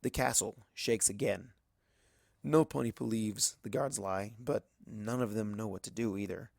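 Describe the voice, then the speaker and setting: A man's voice: on the low side, unhurried, creaky, a little mellow, no room sound, studio quality. A man reading a first-person story aloud as dramatic scripted narration. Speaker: audiobook narrator of fan fiction.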